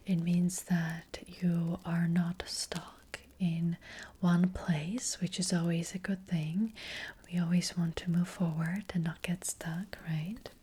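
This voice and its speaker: Woman doing soft witch fortune teller asmr, whispery n breathy, kinda low and super slow, careful reassuring. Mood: calm, reassuring. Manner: hesitant, unhurried, softly reassuring. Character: gentle, careful fortune-telling witch selling potions. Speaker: ASMR roleplay performer. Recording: faint noise, soft clicks.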